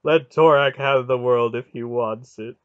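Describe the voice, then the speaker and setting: A man voicing a vast, grieving and vengeful god with loud, archaic, menacing speech in a storybook reading. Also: mid-range voice, fairly even intonation, unhurried, dry recording, muffled recording, lightly acted. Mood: bitter grief. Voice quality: loud, roaring, howling.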